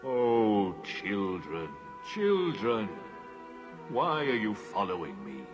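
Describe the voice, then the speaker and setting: Noble lion king, low deep voice, grave, measured, gentle, sorrowful, fantasy film, music behind. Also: wildly swooping pitch, highs cut off, heavily acted. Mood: gentle, weary. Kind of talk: dramatic fantasy film acting.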